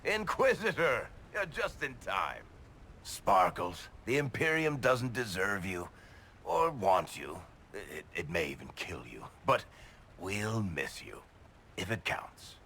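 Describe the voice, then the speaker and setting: A man's voice: lowish voice, sing-song, pushed and bright, a little hiss or hum, heavily acted. A man playing a wisecracking, fond dwarf rogue in a video game, rambling and jovial. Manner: rambling, slow, jovial toasting. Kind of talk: video game cutscene acting.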